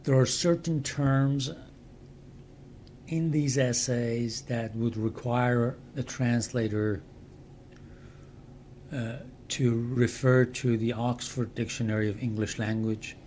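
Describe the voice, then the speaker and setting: An older man from Iran with a Persian accent, speaking slowly and deliberately in an explanatory talk for his channel. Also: medium pitch, fairly even intonation, darkish tone, slight background noise. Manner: measured, deliberate, with pauses. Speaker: channel host and translator. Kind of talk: slow explanatory talk to camera.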